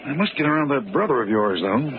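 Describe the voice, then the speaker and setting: An actor playing a self-assured, hearty gentleman charmer in an old-time radio drama, smooth-talking and playfully boastful. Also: mid-range voice, very animated, fast talker, lightly acted. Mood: genial, curious. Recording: very muffled, noisy vintage radio recording.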